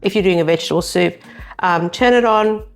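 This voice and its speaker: South African woman, middle-aged, lower voice, demonstrates a recipe while chatting, light music behind. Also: brisk. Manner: chatty, explains steps as she works. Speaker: cooking show co-host. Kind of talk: casual cooking demonstration.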